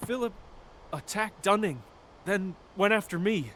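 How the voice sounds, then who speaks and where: An actor playing a shaken, dazed male attack survivor in a video game, speaking in a halting, anxious way. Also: high voice, lively intonation, a bit bright, slight background noise. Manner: halting, anxious, breaking off mid-thought.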